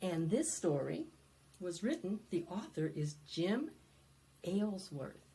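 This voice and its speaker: A woman presenting storytime for young children, singing and reading aloud in an animated, sing-song way that coaxes them to join in. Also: on the low side, takes it slowly. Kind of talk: interactive preschool storytime, singing and read-aloud.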